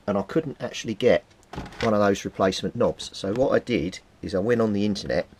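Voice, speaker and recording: A middle-aged man with a British accent and a fairly low voice presents a casual hands-on demonstration. He talks informally, thinking aloud as he handles things at an indoor workbench. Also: a little mellow, slight background noise. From Britain. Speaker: hobbyist radio repairer presenting a video.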